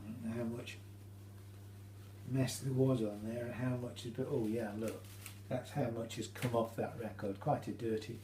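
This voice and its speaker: A British man giving an informal, halting how-to demonstration, explaining each step as he goes. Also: mid-range voice, fairly even intonation, mellow. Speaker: hobbyist demonstrator. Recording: roomy echo, faint hum.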